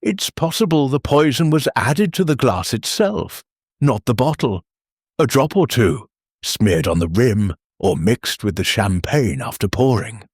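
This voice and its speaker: Man reading as careful, husky medical expert. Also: mid-range voice, expressive, darkish tone, no room sound, studio quality. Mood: pondering. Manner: deliberate, explanatory.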